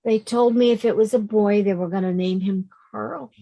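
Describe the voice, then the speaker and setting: A woman acting a grieving, emotional woman in her late fifties in a play reading, speaking in a halting, heartfelt way. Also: medium pitch, steady tone, vocal fry, dark tone, highs cut off. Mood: tearful, confiding. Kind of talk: play reading, naturalistic dialogue.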